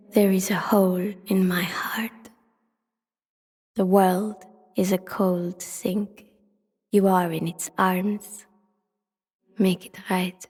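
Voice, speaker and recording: An ancient city spirit, breathy and husky, flat intonation, grave, intimate, prophetic, game voice acting. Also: female voice, mid-range voice. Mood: haunting, pleading. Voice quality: breathy whisper. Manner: slow, incantatory, grave. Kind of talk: scripted video game voice acting. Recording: long cavernous reverb.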